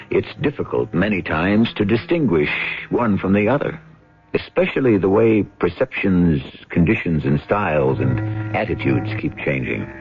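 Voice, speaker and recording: An American man hosting and narrating a scripted 1970s radio mystery in a measured, theatrical, rhetorical manner. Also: low voice. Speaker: host and narrator. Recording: slightly muffled radio broadcast. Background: music.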